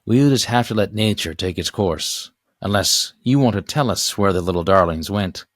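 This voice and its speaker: A man voicing a smug, oily male villain agent in a storybook, taunting and unhurried. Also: on the low side, lively intonation, no echo, clean studio sound. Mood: smug, threatening.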